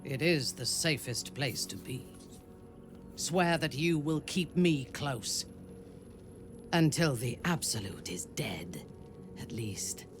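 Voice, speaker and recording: An actor playing a proud, stern woman warrior commander in a video game, formal and measured, coldly set on vengeance. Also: mid-range voice, animated. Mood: vengeful resolve. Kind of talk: video game dialogue acting. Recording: music under the voice.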